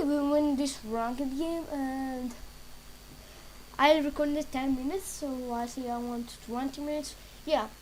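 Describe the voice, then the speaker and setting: Woman, English, fairly high voice, very slow halting delivery, casual game commentary, faint background noise. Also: rough recording. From Serbia. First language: Serbian. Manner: halting, broken English with many pauses and fillers. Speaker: gaming channel creator.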